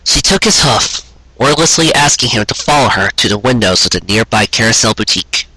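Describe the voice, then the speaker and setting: A man's voice: on the low side, lively intonation, fairly quick, pushed and bright, husky, no echo, low-quality recording. A man reading a sentimental romance story aloud in an earnest, dramatic storytelling manner.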